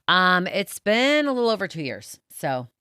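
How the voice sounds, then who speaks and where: A middle-aged woman with a deep voice, a guest chatting casually and telling stories with lots of fillers. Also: big pitch swings, moderate pace, bright, forceful tone, clean tone, no echo, clean studio sound. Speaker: guest business owner. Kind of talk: casual chat. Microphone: studio mic.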